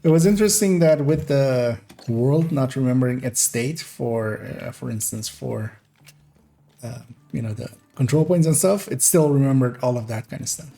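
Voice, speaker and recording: A man from Iran with an accent that mixes Iranian, American and Dutch English, giving relaxed, rambling gameplay commentary as a streamer. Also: mid-range voice, moderate pace, vocal fry. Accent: Iranian mixed with American and Dutch English. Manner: relaxed, rambling explanations with little laughs.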